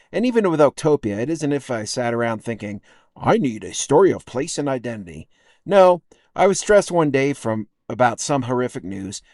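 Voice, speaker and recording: Casting: American male essay narrator, fifties, medium pitch, thoughtful and wry delivery, very dry acoustic. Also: a little mellow, no room sound. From United States, upstate New York and Texas. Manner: measured, reflective, wry. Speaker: podcast writer and narrator. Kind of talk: scripted personal essay narration.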